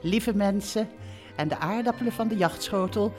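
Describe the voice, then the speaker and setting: An actress playing a bossy, warm-hearted middle-aged mother who runs a holiday park, in a chatty, theatrical live audio drama style. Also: mid-range voice, normal speed, natural voice. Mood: bossy, cheerful. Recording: live theatre recording with music.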